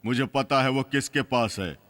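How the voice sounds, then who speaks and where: Man voicing a forceful, solemn cartoon king. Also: medium pitch, normal speed, in a large hall, lightly acted. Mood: solemn, commanding. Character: solemn, commanding male cartoon king bereft of power. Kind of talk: Hindi cartoon dub acting.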